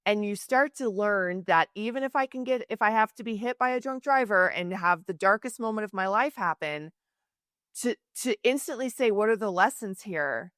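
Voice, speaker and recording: A woman's voice: mid-range voice, normal speed, fairly bright tone, no room sound, clean studio sound. A woman hosting a podcast interview, talking in an earnest, emphatic and reflective way. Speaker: podcast host. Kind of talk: conversational interview.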